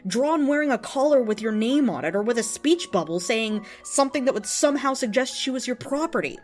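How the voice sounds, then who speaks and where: A woman voicing an earnest, lovestruck and easily offended devotee in a dramatic, emphatic reading of an open letter. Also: high-pitched. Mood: firm, aggrieved. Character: earnest, lovestruck, indignant devotee of a cartoon pony.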